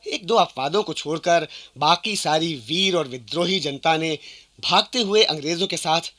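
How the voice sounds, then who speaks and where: A man playing a solemn English historian who recites his written account in a measured, declamatory radio play style. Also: fairly high pitch, sharp tone. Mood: solemn, emphatic. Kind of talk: dramatic radio play reading.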